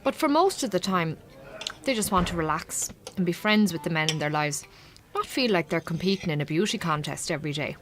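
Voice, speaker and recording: Actress as chatty Irish farmer's wife. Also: female voice, fairly low pitch, expressive, brisk, noisy background, lightly acted. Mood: frank, explaining. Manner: colloquial, chatty, frank. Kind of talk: naturalistic radio drama acting.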